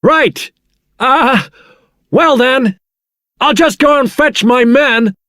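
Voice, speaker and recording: An actor playing a hearty, plain-spoken fighting man in a video game, easily excited. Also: very high voice, slow, no echo, studio quality, exaggerated voice. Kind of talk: video game character acting.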